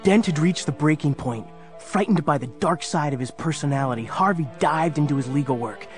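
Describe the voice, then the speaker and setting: A man's voice: fairly high pitch, brisk, faint music behind. A man narrating a scripted story in a dramatic storytelling style.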